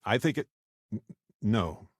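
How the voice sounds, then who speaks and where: Expressive, lively male space explorer. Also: on the low side, a little slow, slightly rough, dry recording, clean studio sound. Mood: firm, upset. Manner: conversational, expressive, reflective. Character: warm, talkative male space explorer companion, earnest and wry. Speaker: video game voice actor. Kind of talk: video game companion dialogue lines.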